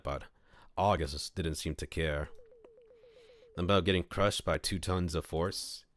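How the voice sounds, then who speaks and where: A man streaming and reading a visual novel aloud, playful and thinking out loud about his choices. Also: low-pitched.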